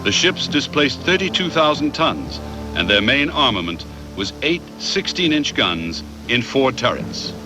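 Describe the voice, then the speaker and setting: A man reads scripted documentary narration in a measured, factual way. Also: medium pitch, big pitch swings, over music, rough recording.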